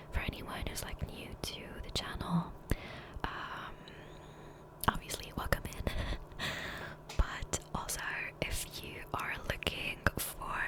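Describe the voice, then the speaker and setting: A woman speaking in a soft, breathy whisper close to the microphone, rambling casually and self-deprecatingly as an ASMR gaming creator. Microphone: close USB condenser microphone.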